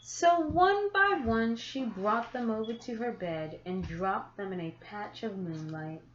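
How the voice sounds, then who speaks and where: A woman reading a children's picture book aloud, with deliberate, expressive phrasing and drawn-out words for young listeners. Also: mid-range voice. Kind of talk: children's story read-aloud. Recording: echoey room, slightly muffled sound.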